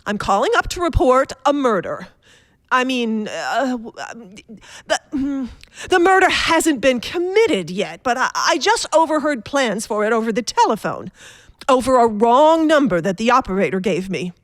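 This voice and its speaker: Woman as fretful, haughty housewife for radio drama; high voice, wildly swooping delivery, indignant and insistent. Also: very animated, moderate pace, no room sound. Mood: anxious, fretful. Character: nervous, imperious, complaining invalid wife. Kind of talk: radio play acting.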